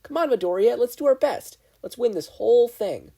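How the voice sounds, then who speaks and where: A performer voicing a bubbly, determined teenage girl who is excitable and eager. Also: mid-range voice, normal speed, gravelly, husky, pitched above the performer's own voice. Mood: fired-up.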